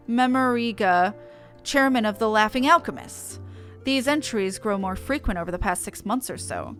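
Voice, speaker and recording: A woman voicing a grave, eloquent investigator from a fantasy game in a formal, measured, dramatic delivery. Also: on the high side, a bit bright. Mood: urgent, official.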